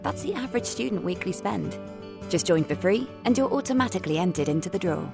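A woman's voice: on the low side, animated, quick pace, gravelly. A woman reading a scripted advertisement in a polished, persuasive voice. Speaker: ad voice-over. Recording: music bed under voice.

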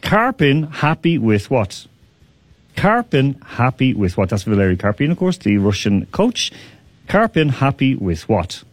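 A man hosting a radio quiz, teasing contestants, laughing often and repeating questions slowly. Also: medium pitch, very animated, no room sound. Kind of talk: lively radio quiz banter.

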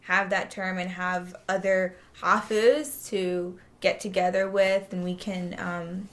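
A young woman from Japan chatting casually as an interview guest, animated and prone to mimicking other people as she talks. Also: on the low side, slow pace. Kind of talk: casual conversation.

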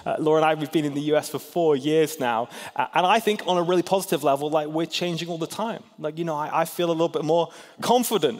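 A man in his thirties, raised in Hong Kong, preaching a chatty sermon in a church and asking the crowd questions with self-deprecating humor. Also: on the high side. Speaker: pastor. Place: large church hall. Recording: long hall reverb.

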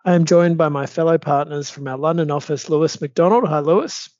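A man's voice: a little high, a little mellow, no echo, highs cut off. Male podcast host, dry sound. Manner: measured, reads prepared introduction. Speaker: podcast host, law firm partner. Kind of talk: scripted podcast hosting.

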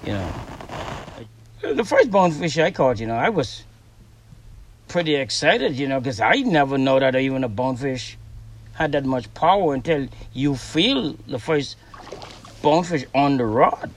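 A man from the Bahamas with a Bahamian accent, a fishing guide speaking in an interview, relaxed and rambling with lots of "you know.". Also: mid-range voice, moderate pace, long pauses, recorded from a distance, slight background noise. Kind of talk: recorded interview on a boat. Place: outdoors on the water by boat.

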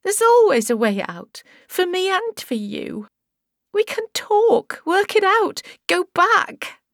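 A woman voicing a terrified young woman in an audiobook, crying and pleading haltingly for her life. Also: extremely high pitch, very animated, dry recording, studio quality, voice pushed up, full character voice. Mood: desperate pleading.

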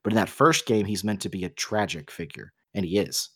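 A man's voice: lowish voice, fairly quick, a bit bright, breathy edge, no room sound, studio quality. A man co-hosting a podcast, talking casually but articulately as he argues his opinions with emphatic stress. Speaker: podcast co-host.

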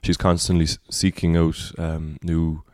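This is a Cork Irish man with a deep baritone voice that sits very low. His delivery stays level, with little rise and fall. He sounds reflective and wanders through his thoughts in a casual podcast chat, recorded close with a dry sound. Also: level tone, unhurried, a little mellow, no room sound. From Cork, Ireland. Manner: reflective, rambling. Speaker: singer-songwriter guest.

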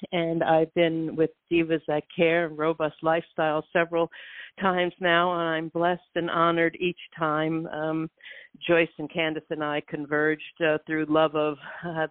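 A woman guest author from New York, chatting casually with lots of fillers, restarts and laughs, a little croaky from allergies. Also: low voice, no room sound. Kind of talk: casual interview chat. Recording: tinny phone line.

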